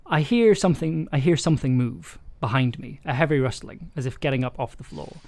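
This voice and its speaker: A man's voice: medium pitch, lively intonation, fairly quick, vocal fry, a little mellow. A man livestreaming in a casual, hesitant way, thinking aloud and reading from his own story draft. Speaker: streamer and audio drama writer. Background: chill soundtrack music.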